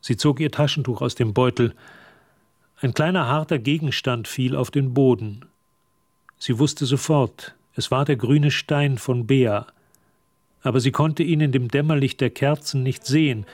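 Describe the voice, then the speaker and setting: A man's voice: medium pitch, measured pace, a little mellow, dry recording. Dry studio-recorded male narrator. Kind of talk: scripted literary narration for radio drama. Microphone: close, dry studio microphone.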